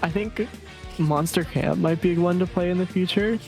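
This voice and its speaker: A woman's voice: lowish voice, normal speed, creaky, a little mellow, faint music behind. A woman streamer chatting casually and reading game text aloud on a livestream.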